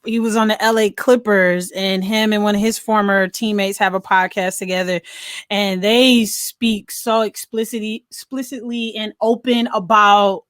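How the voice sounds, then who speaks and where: A woman's voice: medium pitch, steady tone, moderate pace, fairly bright tone, dry recording, studio quality. An American woman co-hosting a podcast, talking casually and feeling her way through her thoughts with lots of filler phrases. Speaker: podcast co-host. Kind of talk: casual movie discussion.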